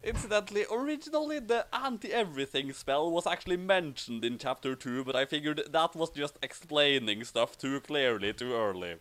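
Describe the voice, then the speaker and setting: A man's voice: fairly high pitch, lively intonation, normal speed, hardly any pauses, fairly bright tone. A man giving casual commentary as the author of a comic story.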